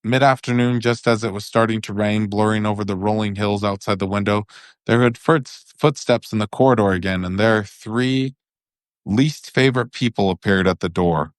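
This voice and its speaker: A man's voice: lowish voice, moderate pace, clean tone, no room sound, studio quality. A man narrating a storybook for bedtime in an informal, occasionally stumbling way.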